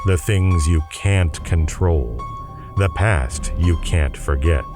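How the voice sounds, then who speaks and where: Slow, low-voiced male narrator over music. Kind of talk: dramatic scripted narration.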